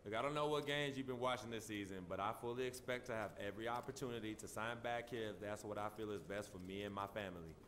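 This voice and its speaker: An actor playing a cocky young basketball star in a video game, answering press questions in brash, dismissive slang. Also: male voice, on the low side, fairly even intonation, fast talker, hardly any pauses, fairly bright tone, slight background noise, lightly acted. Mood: cocky, insistent. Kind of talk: acted press conference answers for sports game.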